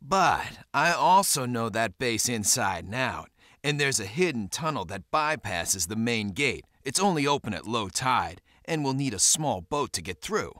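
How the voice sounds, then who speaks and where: An actor playing a brooding, defiant young war pilot in a video game, terse and hard-boiled. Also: male voice, mid-range voice, very animated, a little slow, sharp tone, dry recording, studio quality. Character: brooding, defiant young man, war pilot seeking revenge on his brother. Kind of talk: video game voice acting, narration and dialogue.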